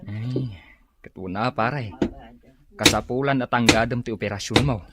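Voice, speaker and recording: An actor with an Ilocano accent playing an easygoing, hardworking village husband and loyal friend in a radio drama. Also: male voice, medium pitch, normal speed, heavy background noise, natural voice. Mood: sympathetic.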